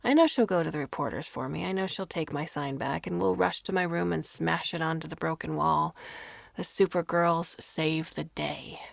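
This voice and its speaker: Woman, story narrator, lowish creaky voice, expressive scripted reading, very dry studio sound. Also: moderate pace, dry recording. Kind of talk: scripted fiction narration, first person.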